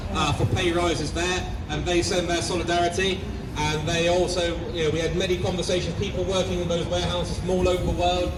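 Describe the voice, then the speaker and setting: A man giving an impassioned protest speech outdoors, often pausing with fillers. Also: high voice. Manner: impassioned, hesitant with many fillers. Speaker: protest speaker. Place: outdoor street rally. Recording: distant mic, loud street noise.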